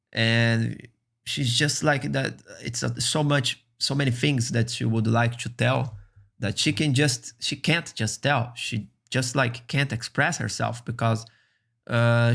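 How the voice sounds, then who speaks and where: A man whose first language is Brazilian Portuguese, speaking English earnestly and haltingly as a streamer reacting to a video. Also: medium pitch, fairly even intonation, creaky, a bit bright. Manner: earnest, halting, many restarts.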